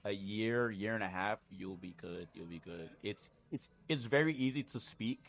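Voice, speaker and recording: A man's voice: fairly low pitch, moderate pace, fairly bright tone. A man speaking as a guest in a casual chat, easygoing but emphatic about his views. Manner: easygoing, emphatic, frequent "you know". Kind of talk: casual conversation.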